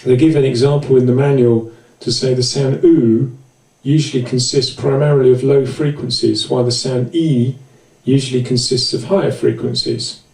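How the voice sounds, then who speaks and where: A man's voice: medium pitch, a little mellow. Man, tutorial presenter, echoey distant recording, informal and hesitant, explanatory gear demonstration. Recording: roomy, echoey, distant mic.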